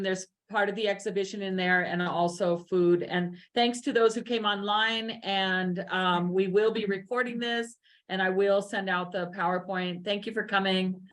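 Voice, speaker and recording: A woman hosting a presentation in an informal, halting, unscripted way. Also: medium pitch, a bit bright. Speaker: event host and moderator.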